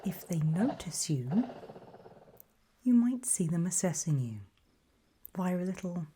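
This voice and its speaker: A woman narrating an ASMR roleplay in a breathy whisper, guiding the listener in hushed, deliberate short phrases with pauses between them. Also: fairly low pitch, big pitch swings, a little hiss or hum.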